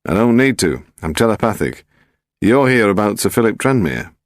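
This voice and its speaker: A man's voice: on the low side, sing-song, normal speed, dry recording, voice pushed up. A British man voicing a brusque, wry senior police detective in an audiobook, with a dry, sardonic manner. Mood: brusque, teasing. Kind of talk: audiobook character dialogue.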